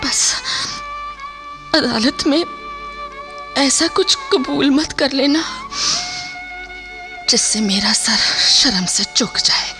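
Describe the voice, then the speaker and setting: An actress playing the proud, steadfast wife of a condemned soldier in a radio drama. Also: female voice, high voice, animated, very slow, long pauses, over music, full character voice. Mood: defiant, tearful resolve.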